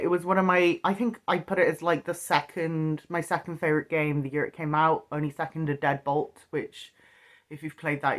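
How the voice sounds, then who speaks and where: Lower-pitched woman, chatty guest reviewer.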